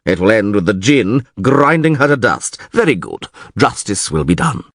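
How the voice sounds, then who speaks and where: A male reader acts out a know-it-all priest from a fantasy tale. He is pedantic and emphatic, with widely swinging pitch on a dry, close recording. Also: wildly swooping pitch, normal speed, breathy edge, no room sound. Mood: gloating.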